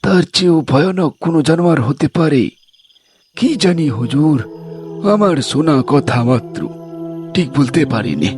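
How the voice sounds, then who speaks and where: A man's voice: fairly high pitch, animated, measured pace, a little mellow, faint music behind. A man playing a fearful, deferential old tribesman who speaks cautiously in an audio drama. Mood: wary, deferential. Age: older. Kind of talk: audio story character acting.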